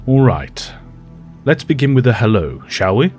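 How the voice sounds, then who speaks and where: An actor playing a calm, dignified male telepathic professor who speaks in a formal, measured way. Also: mid-range voice, soft background music.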